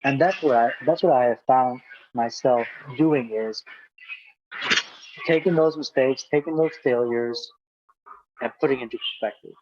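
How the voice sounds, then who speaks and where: A man's voice: mid-range voice, a little slow, long pauses, lo-fi sound, noisy background. A man from Hungary, guest on an interview, talking reflectively with many fillers and repeated words. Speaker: guest speaker. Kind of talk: casual interview talk.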